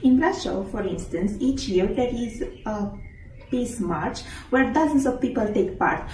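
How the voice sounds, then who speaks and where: A woman giving a short prepared statement in a calm, informative way. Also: a little high, takes it slowly. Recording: echoey room, distant mic, faint noise.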